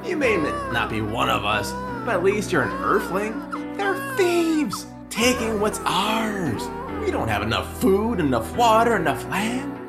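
A man's voice: high-pitched, wildly swooping pitch, a little slow, exaggerated voice. A man playing a gloating, bitter traitor in a radio drama, sneering and taunting. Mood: scornful, ranting. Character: gloating male traitor villain, bitter and hateful. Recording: loud music under the voice.

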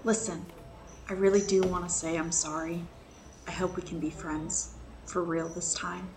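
A woman's voice: fairly low pitch, flat delivery, moderate pace, darkish tone, a little hiss or hum, lightly acted. A woman acting a wary, guarded protector in an amateur science fiction radio drama. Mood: apologetic.

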